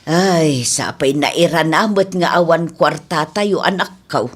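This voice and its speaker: A woman's voice: low-pitched, unhurried, voice pushed down. A woman voicing a weary, patient middle-aged mother in a radio drama, plaintive and placating. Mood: weary, tender. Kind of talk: amateur radio drama acting.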